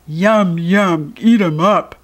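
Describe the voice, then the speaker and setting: A woman's voice: lowish voice, slow pace. A woman with an American accent voicing an evil talking toilet monster that bellows hungry chants. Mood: greedy.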